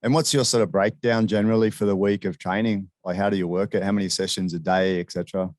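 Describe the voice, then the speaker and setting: Low-voiced man hosting a casual interview. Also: fairly even intonation, fast talker, darkish tone, dry recording, clean studio sound. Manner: chummy, informal, often addressing guest as "man". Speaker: interviewer, show host.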